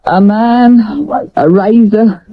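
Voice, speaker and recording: An actress playing a hurt, bitter Victorian woman in a 1970s radio drama, with a deliberate delivery. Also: medium pitch, natural voice. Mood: wounded, bitter. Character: hurt Victorian woman, former lover of actor-sleuth, bitter, demanding. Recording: dull, dry old radio recording.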